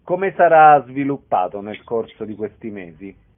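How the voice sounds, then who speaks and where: A man's voice: mid-range voice, lively intonation, measured pace. Male interviewer, muffled lo-fi sound. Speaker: radio interviewer. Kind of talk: interview questions.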